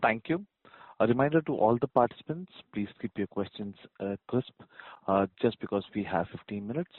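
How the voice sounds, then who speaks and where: A man moderates a conference call with formal announcements. His voice is fairly low and dry, carried on a narrow phone line. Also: expressive, a little fast, no room sound.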